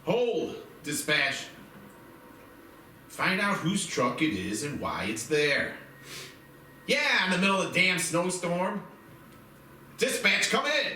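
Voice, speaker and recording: A man's voice: high-pitched, very animated, takes it slowly, long pauses, sharp tone, slight background noise, exaggerated voice. A man playing a tense small-town police officer on the radio in an amateur screenplay table read. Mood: urgent, gruff. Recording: echoey, distant mic, faint music behind.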